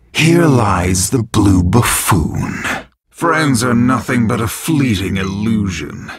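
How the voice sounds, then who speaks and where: An actor playing an arrogant, power-drunk jackal villain in a video game, husky and breathy, with haughty, menacing, deliberate taunts. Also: male voice, medium pitch, a bit bright, dry recording, clean studio sound, exaggerated voice.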